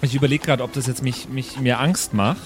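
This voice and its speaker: A man's voice: medium pitch, fairly even intonation, a little fast. A man improvising casually as a player in a tabletop role-playing game. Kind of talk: casual improvised in-character play. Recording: clear background noise.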